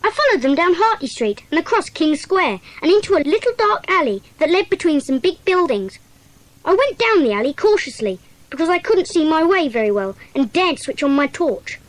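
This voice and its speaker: A woman's voice: very high-pitched, big pitch swings, moderate pace, no room sound, poor audio. A woman playing an eager, earnest schoolboy sleuth who gives detailed reports in a children's audio drama. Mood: earnest, puzzled.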